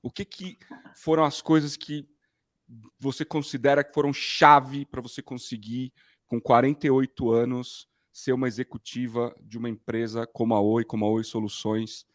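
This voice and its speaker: A man's voice: medium pitch, flat delivery, normal speed. A Brazilian man interviewing in a casual, informal and affirming conversational way. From Rio de Janeiro, Brazil. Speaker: interviewer, host.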